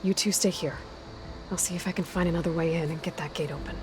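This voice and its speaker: An actress playing a practical, resolute young female scout in a naturalistic video game performance, measured and matter-of-fact. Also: fairly low pitch, steady tone, over music, lightly acted, poor audio.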